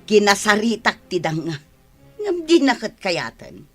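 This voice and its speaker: Pushy middle-aged mother, greedy and mocking, bright forceful voice, Ilocano accent, music behind. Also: mid-range voice, sing-song, a little slow. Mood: scheming. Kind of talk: Ilocano radio drama acting.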